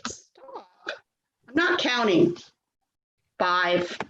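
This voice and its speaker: In a roomy, slightly noisy recording, an exasperated adult daughter nags and worries in a stage play, acted by a woman. She speaks slowly, in a put-upon way, with wide swings of pitch. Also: wildly swooping pitch, a bit bright, exaggerated voice. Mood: weary, defensive. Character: exasperated, worrying adult daughter of an elderly mother. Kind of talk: readers theater stage-play acting. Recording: echoey room, dull sound, faint noise.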